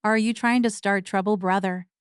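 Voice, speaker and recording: A woman's voice: medium pitch, fairly even intonation, moderate pace, smooth, no room sound, studio quality, close to an everyday voice. A woman playing a prickly, dutiful archivist sister, giving formal scripted recaps with defensive banter. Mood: prickly, composed.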